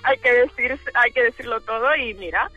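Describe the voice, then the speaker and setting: A woman's voice: a little high, moderate pace, dry recording, faint music behind. A woman from the Dominican Republic calling in on the phone, telling her own story in an informal, giggly way. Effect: telephone. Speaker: radio caller. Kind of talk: casual personal storytelling. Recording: tinny band-limited phone line.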